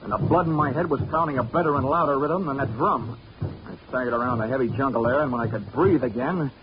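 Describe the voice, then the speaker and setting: A man's voice: mid-range voice, fast, noisy background, natural voice. A man playing a wisecracking hardboiled investigator. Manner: dry wisecracking first-person narration. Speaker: narrator and lead. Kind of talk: 1940s radio acting. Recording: dull 1940s radio broadcast with music.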